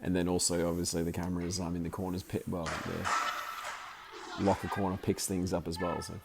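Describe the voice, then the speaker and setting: A man with a very deep voice, still croaky from the flu, talks casually over his own hockey video. He rambles and restarts his sentences as he goes, and there is a faint hiss behind him. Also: completely flat delivery, fairly quick. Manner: rambling, chatty, many fillers and restarts. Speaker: amateur hockey commentator and video maker.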